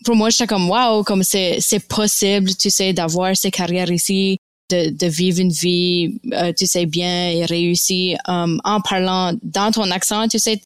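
Young acadian woman, smooth dry voice. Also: fairly low pitch, fairly even intonation, a little slow, no echo. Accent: Acadian French of Baie Sainte-Marie. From Acadian Nova Scotia. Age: young adult. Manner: reflective, many fillers and restarts. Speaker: podcast guest. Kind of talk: casual conversation.

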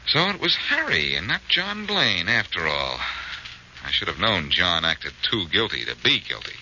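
A muffled, noisy vintage radio recording carries a confident detective with a low voice that swings widely in pitch. He is brisk and wry, reasoning aloud in the manner of forties radio mystery drama. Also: big pitch swings, bright, forceful tone, heavily acted. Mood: excited deduction. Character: confident, sharp-witted male amateur detective.

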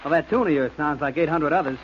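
A man's voice: a little high, quick pace, slight background noise, natural voice. An actor playing a hot-tempered male lyricist in a 1940s radio drama, combative and testy. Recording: lo-fi old radio broadcast with music.

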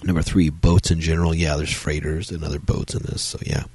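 Very deep gravelly man with a cold. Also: flat delivery, fairly quick, a little mellow, no echo. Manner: rambling, many fillers and restarts. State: has a cold, strained voice. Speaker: podcast host reviewing films. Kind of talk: solo casual review talk.

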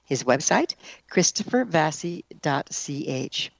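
A woman's voice: deep voice, sing-song, slow pace, vocal fry, dry recording, rough recording. Woman hosting, dry studio sound. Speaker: show host. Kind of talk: radio interview hosting with scripted sign-off.

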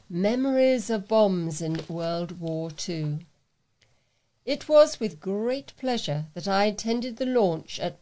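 A woman's voice: medium pitch, warm tone. A woman with a mid-range voice reads from a local newspaper. She delivers a written reader's letter as a volunteer, following the text as it stands. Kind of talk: read-aloud newspaper letters.

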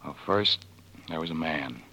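Deep-voiced suave American suitor. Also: male voice, lively intonation, measured pace, bright, forceful tone, a little hiss or hum, full character voice. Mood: tender, romantic. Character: suave upper-class young suitor, romantic and gently teasing. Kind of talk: 1940s radio drama acting. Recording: muffled vintage radio recording with music.

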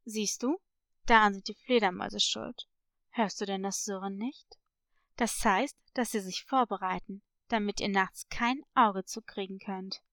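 A woman's voice: medium pitch, steady tone, measured pace, a bit bright, no echo, studio quality, voice pushed down. A woman voicing a mysterious, knowing male parrot with an ominous manner in a storybook.

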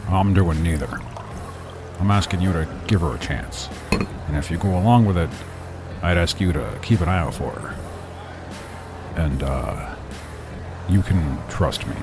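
A man playing a retired former villain looking out for his niece, speaking in a quiet, measured, firm way. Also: deep voice, animated, over music. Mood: steady. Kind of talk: audio drama acting.

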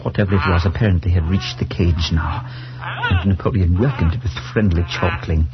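Grave, deep-voiced priest, old radio. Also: male voice, very animated. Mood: hushed dread. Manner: measured, solemn, dramatic. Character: grave, troubled male priest, shaken but reasoning. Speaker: narrator and character. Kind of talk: 1940s radio suspense acting, first-person narration. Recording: dull 1940s radio recording with music.

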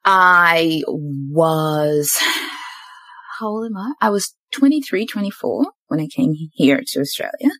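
Young woman podcast host, low voice, dry close sound, chatty, laughs a lot, animated storyteller. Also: takes it slowly, no room sound. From Zimbabwe and New Zealand. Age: 20s. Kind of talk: casual conversation.

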